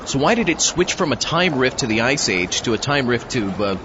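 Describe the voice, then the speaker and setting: An actor playing a reluctant, questioning sidekick and host in a scripted comic audio skit, sounding hesitant and exasperated. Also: male voice, mid-range voice, big pitch swings, a little fast, lo-fi sound, noisy background, lightly acted.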